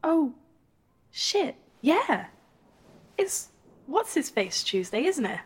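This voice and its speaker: A woman playing a casual, down-to-earth friend with a husky voice in a radio play. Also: high-pitched, big pitch swings, slow pace, heavily acted.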